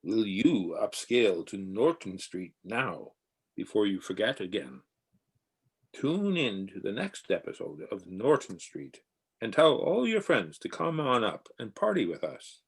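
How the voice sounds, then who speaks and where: Husky, slow male serial announcer. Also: fairly low pitch, sing-song. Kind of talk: scripted radio serial narration with stage directions.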